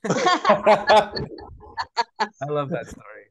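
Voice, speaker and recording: A man's voice: sits high, huge pitch swings, extremely slow, fairly bright tone. A middle-aged man, a puppeteer, chatting casually on a live video call. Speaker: puppeteer and author. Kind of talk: casual live online chat. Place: indoor room before a puppet stage. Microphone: video call, device at arm's length.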